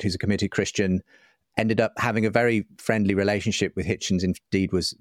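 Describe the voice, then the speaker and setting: Guy being interviewed, deep voice, agreeable, kinda hesitant, really dry sound. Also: no room sound. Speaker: interview guest, writer and broadcaster. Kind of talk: conversational interview.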